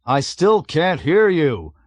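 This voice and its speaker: A man voicing a calm, oblivious male navigator who speaks in a mild, innocently puzzled way. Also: a little high, takes it slowly, dry recording, pitched above the performer's own voice.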